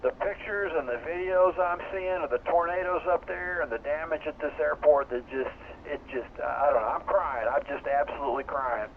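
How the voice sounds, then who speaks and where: Older man on a tinny ham radio. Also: on the high side. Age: 70s. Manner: earnest, halting, emotional. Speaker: amateur radio operator. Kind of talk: radio net chat. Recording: tinny two-way radio with faint hiss.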